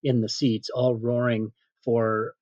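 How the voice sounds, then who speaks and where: A man's voice: medium pitch, level tone, slow, a little mellow, dry recording. Middle-aged man telling stories, dull audio. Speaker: stadium PA announcer, interview guest. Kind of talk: casual anecdotal interview answers.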